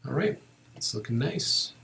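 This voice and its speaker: A man giving a casual step-by-step software tutorial, thinking aloud informally as he works. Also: mid-range voice, very animated, unhurried, gravelly.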